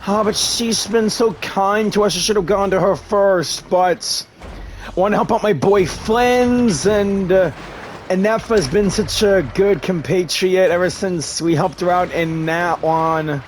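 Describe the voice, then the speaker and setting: A man's voice: high voice, a little slow, a little hiss or hum. A man giving casual gameplay commentary as a gaming host, chatting back to the characters on screen.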